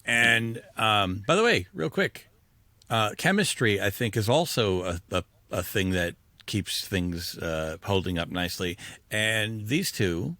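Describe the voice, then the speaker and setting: Bright, low-voiced man on a film podcast. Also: lively intonation, unhurried, no echo. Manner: rambling, self-interrupting. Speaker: podcast co-host. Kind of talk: casual film discussion.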